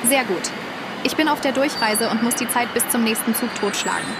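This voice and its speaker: A woman as a high-voiced, polite traveller. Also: brisk, heavy background noise, lightly acted. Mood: polite, curious. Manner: polite, questioning. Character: polite, curious woman traveller passing through. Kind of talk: comic audio drama acting.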